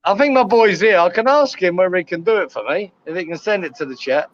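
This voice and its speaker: Older male guest, high voice, friendly and matey, lo-fi call audio. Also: animated, fast, a little hiss or hum. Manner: friendly, says mate a lot. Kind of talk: casual live-stream chat.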